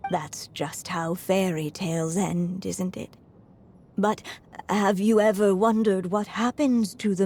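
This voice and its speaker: Slow, solemn elderly woman writer. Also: on the low side, dark tone, slight background noise. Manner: measured, solemn, reflective. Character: frail, resolute elderly woman writer of fairy tales. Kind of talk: dramatic video game voice acting. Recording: music under the voice.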